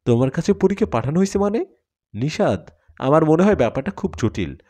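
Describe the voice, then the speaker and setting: A man's voice: on the high side, sing-song, a little fast, mellow, pitched above the performer's own voice. A man voicing an anxious, caring young college woman in a story, full of concerned questions. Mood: worried.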